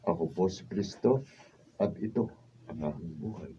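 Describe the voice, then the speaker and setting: A mellow, pleading young suitor. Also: male voice, low voice, lively intonation, normal speed, soft background music, rough recording. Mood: regretful. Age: young adult. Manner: imploring, melodramatic. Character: pleading, regretful young man, a jilted deceitful suitor. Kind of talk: Filipino radio drama acting.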